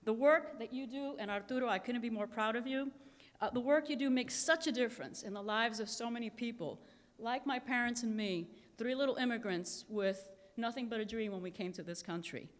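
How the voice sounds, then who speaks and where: A Cuban-born woman giving an earnest, emphatic keynote speech, slowing down to stress key phrases. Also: on the high side. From Cuba. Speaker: keynote speaker. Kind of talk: prepared speech at a conference. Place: large conference hall. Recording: echoey hall with long reverb.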